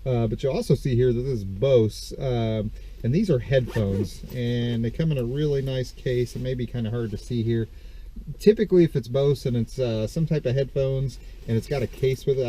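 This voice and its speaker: A man's voice: medium pitch, warm tone, a little hiss or hum. A man rambling casually through a haul of estate sale finds, stuffed up and congested from a dust allergy, full of ums and self-corrections. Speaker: vlogger showing reseller finds. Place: parked car. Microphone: camera on the steering wheel.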